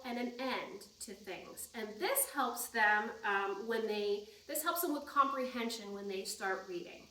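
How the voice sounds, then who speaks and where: A woman's voice: a little high, fairly bright tone. A woman leading a storytime for young children, reading aloud and talking in a sing-song way with simple prompts. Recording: echoey room, distant microphone.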